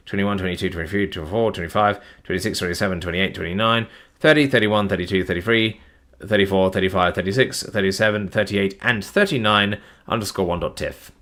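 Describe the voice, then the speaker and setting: A tired man, reading long file listings aloud on a live stream in a flat, plodding way, his voice starting to give out. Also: fairly low pitch. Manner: flat, plodding reading of numbers with weary asides. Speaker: document narrator.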